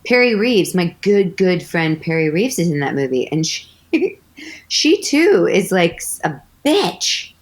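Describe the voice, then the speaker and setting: Low-voiced actress, super chatty, says like a lot, voice sits way down low. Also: female voice, unhurried. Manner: animated, chatty, frequent "like". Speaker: actress guest. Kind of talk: casual interview storytelling.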